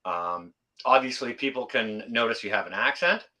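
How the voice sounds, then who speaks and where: A man hosting a casual chat, speaking haltingly with lots of "uh" and "um". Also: mid-range voice, pushed and bright. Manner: halting, many "uh" and "um". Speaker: host.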